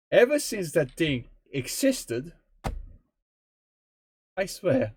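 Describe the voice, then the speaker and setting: A young man muses aloud at an unhurried pace, his pitch swinging widely from line to line. He talks in a loose, chatty way and swears casually. The recording is dry and close, with no room sound. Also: darkish tone, no room sound. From Estonia. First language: Estonian. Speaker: streamer. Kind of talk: rambling gameplay commentary.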